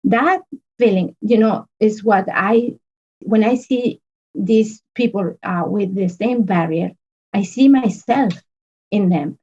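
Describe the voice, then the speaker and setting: Woman, earnest interview guest, restarts often, mellow tone, dull lo-fi audio. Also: mid-range voice, slightly rough. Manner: earnest, halting with many restarts and fillers. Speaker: podcast guest, business consultant. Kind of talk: casual interview conversation.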